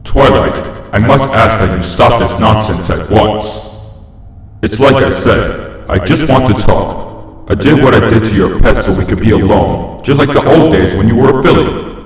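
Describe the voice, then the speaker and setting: A man voicing a possessive living stuffed toy that talks with slow, falsely friendly menace in a horror story reading. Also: lowish voice, close to an everyday voice. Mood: coaxing. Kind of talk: horror fan fiction reading. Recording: heavy cavernous echo and long reverb.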